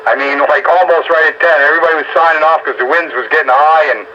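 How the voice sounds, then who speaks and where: A man's voice: on the high side, steady tone, fast, sharp tone. A man in casual, folksy, rambling chat over a two-way radio as he runs a round-up. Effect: two-way radio transmission. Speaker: radio operator running a round-up. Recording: dull, noisy two-way radio audio.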